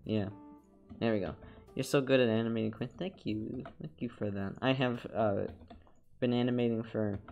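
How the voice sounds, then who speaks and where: A man's voice: mid-range voice, fairly even intonation, moderate pace, gravelly, phone-quality sound, soft background music. A young man with a congested, nasal voice chatting casually as a streamer while he animates, rambling with repeated words and laughs.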